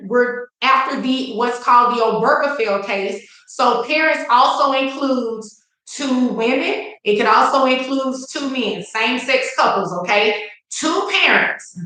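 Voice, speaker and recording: A woman's voice: fairly high pitch, sharp tone. A woman with a Texan accent hosting an informal live legal lesson, speaking in a folksy, emphatic way with chatty asides to viewers. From Texas, United States. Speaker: attorney hosting a live legal education session. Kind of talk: informal livestream lecture. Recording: echoey, reverberant room.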